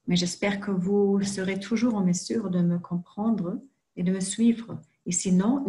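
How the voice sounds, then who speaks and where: Slow, careful woman. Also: medium pitch, a little mellow. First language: not French. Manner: slow, careful delivery with frequent hesitations. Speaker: presenter for a genealogy organization. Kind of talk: screen-share tutorial presentation.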